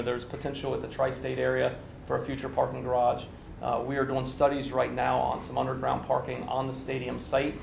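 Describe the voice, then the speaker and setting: A man's voice: medium pitch, vocal fry, noisy background. A man in his forties, a construction official, giving businesslike answers at a press briefing in an indoor conference room, speaking into a standing mic. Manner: explanatory, businesslike, with many fillers. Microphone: standing microphone, TV camera. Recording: echoey room.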